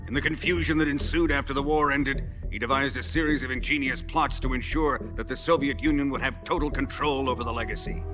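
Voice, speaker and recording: An actor playing a menacing, grandiose middle-aged Soviet colonel in a video game cutscene, speaking in a pompous, deliberate, theatrical way over music. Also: male voice, mid-range voice, lightly acted.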